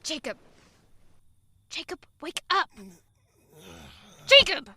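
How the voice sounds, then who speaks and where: A woman's voice: very high-pitched, big pitch swings, takes it slowly, sharp tone, no echo, full character voice. An actress playing a guarded young woman, anxious and grieving, in an audio drama. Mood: alarmed. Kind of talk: audio drama acting and first-person narration.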